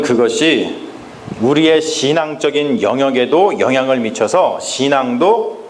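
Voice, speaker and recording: A male pastor preaching a Sunday sermon in a church, speaking emphatically and often turning to address the congregation directly, slowing down to stress key phrases. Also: medium pitch, a little hiss or hum. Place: church sanctuary. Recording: echoey church with faint ambience.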